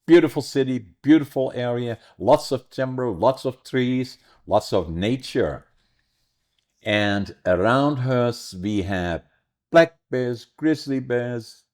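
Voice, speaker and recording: A man's voice: mid-range voice, a little mellow. A man, hosting a podcast and telling stories in a rambling way. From Netherlands. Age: 80s. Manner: rambling, storytelling, self-correcting. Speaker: podcast host. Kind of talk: casual interview chat.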